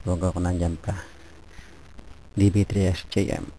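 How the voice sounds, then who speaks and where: Mellow, low-voiced Filipino man on radio. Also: flat delivery, moderate pace. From Philippines, Pampanga region. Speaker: amateur radio operator. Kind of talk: casual radio chat. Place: office. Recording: noisy amateur radio internet link.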